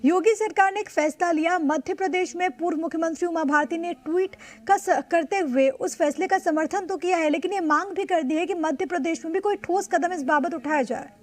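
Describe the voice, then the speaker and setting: A woman's voice: very high voice, a little fast, hardly any pauses. An Indian woman anchoring live television news, speaking emphatically and repeating key points over background music.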